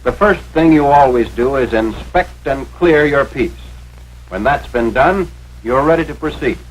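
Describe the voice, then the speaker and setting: A man's voice: medium pitch, unhurried, husky, poor audio. An American man reading scripted narration for a 1940s military training film in an authoritative, instructional manner, on a vintage film soundtrack.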